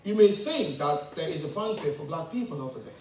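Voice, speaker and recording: A man's voice: a little high, big pitch swings, brisk, darkish tone. Impassioned man in an echoey hall. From Ghana. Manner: rhetorical questions, rising to emphatic peaks. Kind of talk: impassioned public address. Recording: very echoey room, mic from a distance.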